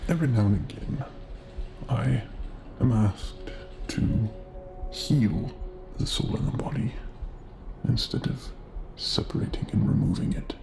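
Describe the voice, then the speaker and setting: A man playing Death as a courteous, gentle figure in a soft-spoken ASMR roleplay, formal, halting and politely deadpan. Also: on the low side, vocal fry, over music. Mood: solemn, matter-of-fact.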